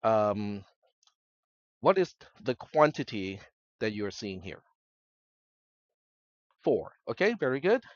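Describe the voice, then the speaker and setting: A man's voice: on the low side, sing-song, slow pace, long pauses, dry recording. Lecturer, male, first language Cantonese, conversational classroom talk, slightly muffled dry recording. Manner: conversational, checks in with students often. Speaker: college instructor.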